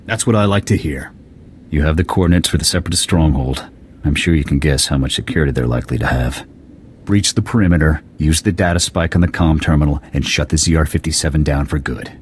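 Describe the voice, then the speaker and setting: Gruff game army commander: extremely low voice, stern, authoritative, clipped orders, faint ambient noise behind. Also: male voice, fast. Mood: stern, grave. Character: stern, gruff male military commander briefing his soldier. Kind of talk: video game cutscene dialogue, military briefing.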